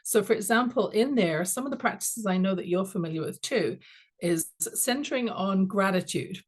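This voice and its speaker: A woman from London with a London English accent, a guest coach telling her story in a measured, reflective way in a podcast interview. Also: medium pitch, animated. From England. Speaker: guest, confidence coach and author.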